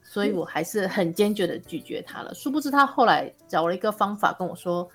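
Fast-talking woman, podcast guest. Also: mid-range voice, expressive, fairly bright tone, soft background music. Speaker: guest author. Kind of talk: casual anecdotal chat.

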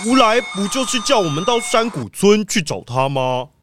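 An actor playing an eager, slightly slow male police squad leader, excitable and blustering, in a children's audio drama. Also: mid-range voice, background noise, close to an everyday voice. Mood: questioning.

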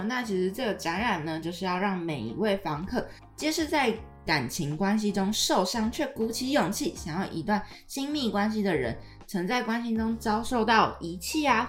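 A woman co-hosting a podcast in an agreeable, explanatory way, between scripted introduction and casual chat. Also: medium pitch. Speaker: podcast host.

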